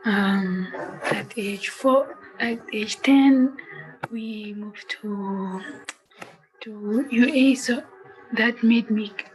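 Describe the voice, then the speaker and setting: A young woman from Somalia, a native Somali speaker, teaching a language class in halting English with frequent restarts. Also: medium pitch, faint music behind, rough recording.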